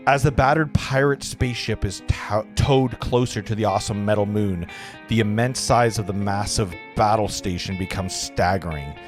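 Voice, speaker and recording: A man's voice: lowish voice, normal speed, over music. A man narrating screenplay stage directions at a live table read, with casual asides.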